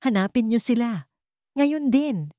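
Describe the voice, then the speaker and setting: A woman's voice: on the high side, animated, unhurried, warm tone, no echo, studio quality, pitched above the performer's own voice. A woman voicing an anxious, commanding wealthy father in a narrated drama story. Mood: frantic, commanding. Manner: urgent, commanding. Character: anxious wealthy father searching for his twins.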